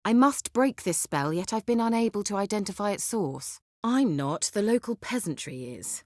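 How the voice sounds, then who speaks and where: Woman doing a snooty sorceress for a fantasy video game, sardonic n teasing, crisp wording, very dry studio sound. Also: medium pitch, lively intonation, normal speed, darkish tone, dry recording, lightly acted. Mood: explaining, irritated. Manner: haughty, wry, teasing, articulate. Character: haughty, sardonic, sly sorceress in a fantasy world.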